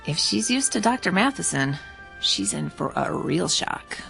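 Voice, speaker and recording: A woman's voice: on the low side, very animated, measured pace, vocal fry, fairly bright tone, soft background music, heavily acted, rough recording. An actress playing a courteous, discreet female clinic receptionist in a radio drama, with a polite professional manner. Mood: hushed, discreet.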